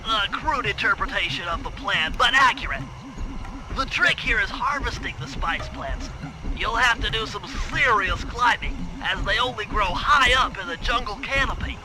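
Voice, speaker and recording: High-pitched, pedantic nerdy turtle strategist. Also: male voice, expressive, moderate pace, music in the background, rough recording. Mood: explaining, matter-of-fact. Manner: precise, pedantic, wordy explaining. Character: brainy, fussy nerdy planner turtle, proud strategist. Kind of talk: video game cutscene acting.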